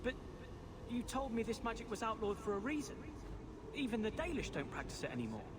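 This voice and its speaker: A man's voice: high voice, sing-song, a little fast. An actor playing an earnest, uncertain young male mage in a video game, with a hesitant delivery. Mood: bewildered. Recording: loud ambient noise.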